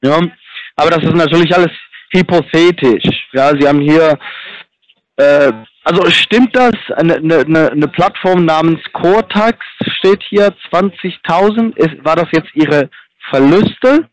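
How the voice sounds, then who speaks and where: A man speaking non-native German with a foreign accent, haltingly giving a phone sales pitch and searching for words. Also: dry recording, low-quality recording. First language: not German. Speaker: phone agent for a fund recovery company.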